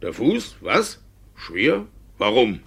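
An actor playing a battered, sly village judge in a 1950s radio play, grumbling evasively in a husky, halting voice. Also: male voice, medium pitch, huge pitch swings, heavily acted. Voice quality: husky, gravelly. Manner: halting, muttering, then suddenly loud.

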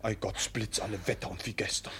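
An actor playing an excitable, rough young peasant bridegroom given to cursing, in a classic radio comedy. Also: male voice, lowish voice, fairly quick, slight background noise. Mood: tender, contrite.

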